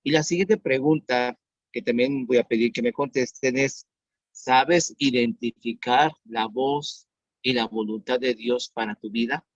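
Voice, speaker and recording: A man in his fifties preaching an online sermon as pastor, earnest and repeating his questions slowly for emphasis. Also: medium pitch, dry recording. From Mexico. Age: 50s. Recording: dull dry sound.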